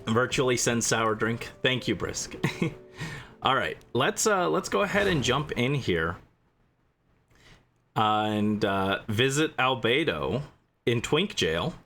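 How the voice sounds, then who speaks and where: Male game streamer: bright tone, expressive pitch swings, playful off-the-cuff chat with viewers over background music. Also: slow, pauses often. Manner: playful, chatty, responding to viewers. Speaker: livestream host. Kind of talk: casual gaming livestream chat with viewers.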